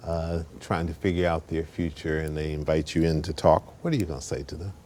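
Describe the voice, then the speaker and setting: A man hosting a local television interview show, asking questions in a brisk, conversational way. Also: extremely low pitch, fairly even intonation, darkish tone, slight background noise. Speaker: TV talk show host. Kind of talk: broadcast interview.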